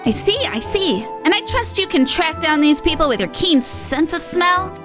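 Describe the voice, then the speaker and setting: A very high voice makes a small, scolding pixie , put on by a woman. The delivery is blunt and dismissive, fit for a fantasy game. Faint music runs behind it. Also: animated, normal speed. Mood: scornful, impatient. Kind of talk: video game quest dialogue read aloud.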